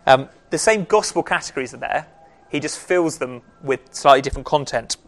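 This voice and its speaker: A man's voice: mid-range voice, animated, a little fast. A man leads a group through a Bible passage, thinking aloud as he goes. His voice sits in a plain middle register, and his delivery is loose and conversational, with hesitations and self-corrections. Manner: conversational, with frequent ums and restarts. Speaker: Bible study leader. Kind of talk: casual teaching talk.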